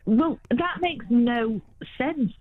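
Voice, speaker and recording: Woman, high-pitched, opinionated radio caller, muffled telephone sound. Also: extremely slow, no echo. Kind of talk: opinionated phone-in talk. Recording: muffled narrow phone line.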